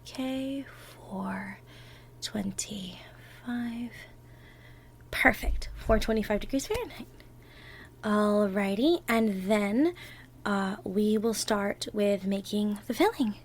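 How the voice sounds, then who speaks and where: A woman's voice: medium pitch, slow, long pauses, a little hiss or hum. A woman playing an affectionate, playfully bossy girlfriend in a one-sided ASMR roleplay, chatting and thinking aloud as she bakes. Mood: focused, soft. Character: bubbly, bossy, affectionate young girlfriend baking for Thanksgiving. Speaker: roleplay audio performer.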